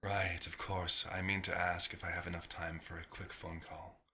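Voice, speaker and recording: A man's voice: sits low, flat delivery, normal speed, bright, forceful tone, full character voice. A man playing a bewildered, hungry vampire doctor turned human, speaking formally and hesitantly in an audio roleplay. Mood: polite, hesitant.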